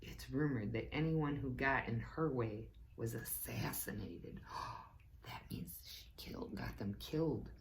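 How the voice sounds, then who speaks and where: A woman reading a book aloud to children as a teacher, slow and deliberate, with playful asides to her listeners. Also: very deep voice, slight background noise, rough recording. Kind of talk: read-aloud book lesson.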